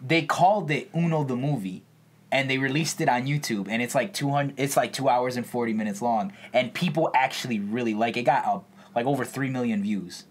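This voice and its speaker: A man's voice: mid-range voice, fairly quick. A man co-hosting a podcast, chatting casually with plenty of bro slang and swearing. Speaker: podcast co-host. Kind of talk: casual chat.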